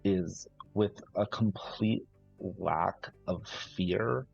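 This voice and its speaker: Slow, low-voiced man narrating roleplay. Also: creaky, darkish tone, slightly rough, muffled recording, soft background music. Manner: halting, searching, thoughtful phrasing. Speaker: tabletop roleplaying player. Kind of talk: improvised in-character narration, live play.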